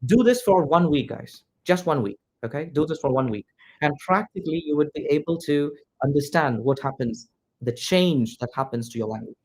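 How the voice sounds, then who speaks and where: Man teaching English pronunciation, fairly high voice. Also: animated, moderate pace, a little mellow, rough recording. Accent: Indian, neutralized English. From India. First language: Malayalam. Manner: direct, repetitive, addresses students as "guys". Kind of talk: classroom instruction to students.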